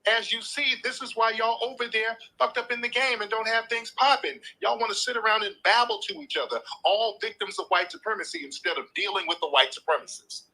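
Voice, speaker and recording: Man, live show host, combative lecturing, swearing, bright husky voice. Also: high voice, a little fast, few pauses, poor audio. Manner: combative, lecturing, repeats points; swears freely, talks over the caller. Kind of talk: heated live call-in argument.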